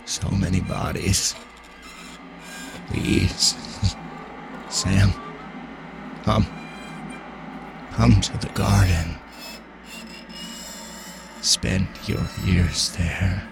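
Gravelly, crawling undead seducer. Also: male voice, low-pitched, steady tone, full character voice. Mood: seductive pleading. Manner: halting, repetitive, entranced luring. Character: rotting undead man overgrown with vines, eerily seductive and beckoning. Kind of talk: horror audio drama acting. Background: music.

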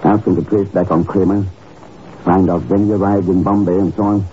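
A man playing a calm, shrewd secret agent in a 1950s radio drama, speaking in a clipped, questioning manner. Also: low voice, fairly quick, slight background noise, lightly acted. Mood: cool, probing. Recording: muffled old radio recording, music.

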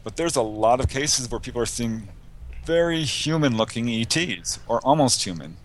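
A man's voice: medium pitch, animated, normal speed, slight background noise, low-quality recording. A man speaking conversationally as an interview guest, recounting anecdotes as a researcher and author, with a light chuckling manner.

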